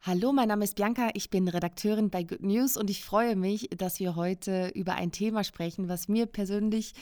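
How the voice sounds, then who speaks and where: A woman's voice: medium pitch, moderate pace, darkish tone, no room sound, studio quality, faint music behind. Chatty woman hosting a talk. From South Africa. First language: English. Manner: chatty and enthusiastic. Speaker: podcast host and editor. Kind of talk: casual interview chat.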